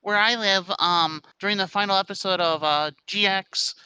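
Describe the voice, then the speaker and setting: Bright, crisp voice, very dry sound. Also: mid-range voice, moderate pace, no echo, lo-fi sound. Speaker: podcast participant. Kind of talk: casual group chat.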